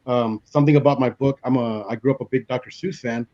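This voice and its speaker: A man's voice: medium pitch, fairly even intonation, fast, mellow. A man chatting casually about his craft as a guest author on an online panel, over a video call. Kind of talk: casual chat about writing. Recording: dull, lo-fi video-call audio.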